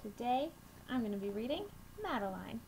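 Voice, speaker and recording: A young woman reading a picture book aloud to children, with measured, deliberate phrasing and expressive emphasis, recorded on a webcam mic in a small room. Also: on the high side. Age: young adult. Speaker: children's storytime reader at a school. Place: small room at home, indoors. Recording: noisy home webcam recording.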